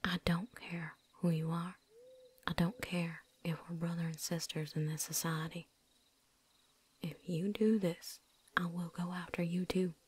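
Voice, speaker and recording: A world-weary female vampire hunter, acted by a woman for an ASMR roleplay, speaks in a breathy, husky whisper. The voice sits fairly low. The manner is blunt and loyal, with a folksy put-on drawl and dropped word endings. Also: little pitch movement, measured pace. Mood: firm, threatening.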